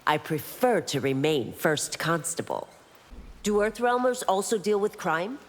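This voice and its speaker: A proud, law-bound woman constable from a fantasy game. Her voice is low and lively, her speech formal and firm, with long hall reverb around it. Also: a little slow. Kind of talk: video game character dialogue.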